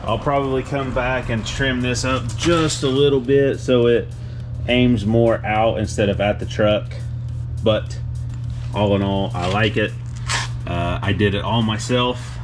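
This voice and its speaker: A man's voice: medium pitch, fairly even intonation, unhurried, over music. A laid-back, plain-spoken man talking casually as he shows truck parts for a workshop video update. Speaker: car builder hosting a shop update. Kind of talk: casual vlog talk while showing truck parts. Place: indoor vehicle workshop garage.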